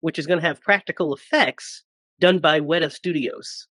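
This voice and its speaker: A man in his thirties hosting a casual podcast, hoarse from a cold and joking around with his co-hosts. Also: fairly high pitch, normal speed, a bit bright, no room sound, clean studio sound. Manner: joking, laughs often. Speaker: podcast host.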